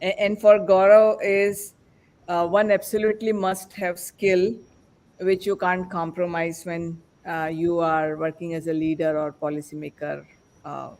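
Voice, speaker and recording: High-voiced man hosting a panel. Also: level tone, unhurried, a little mellow, slight background noise. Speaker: podcast host.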